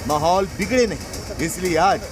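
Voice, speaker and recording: A man's voice: sits high, moderate pace. A man reading a scripted news report as voice-over, with an emphatic, dramatic delivery. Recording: voice-over with music bed.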